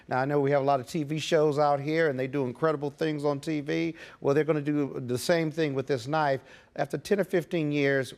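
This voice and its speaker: A man's voice: fairly high pitch, a little mellow. A man with an American accent giving legal commentary in a TV interview, emphatic and fond of rhetorical questions. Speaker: guest legal analyst, criminal defense attorney.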